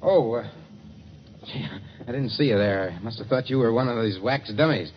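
An actor playing a brisk, pushy newspaper reporter in a 1940s radio drama. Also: male voice, medium pitch, natural voice. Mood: curious. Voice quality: brisk, pressing. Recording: dull old radio recording, noisy.

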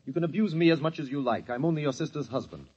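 A man's voice: fairly high pitch, lively intonation, quick pace, darkish tone, breathy edge. An actor playing a blunt husband who firmly stands up for his wife in a family quarrel, in an old radio play. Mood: indignant. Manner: firm, indignant. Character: blunt, protective husband Peter. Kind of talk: 1940s radio acting. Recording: dull old radio recording.

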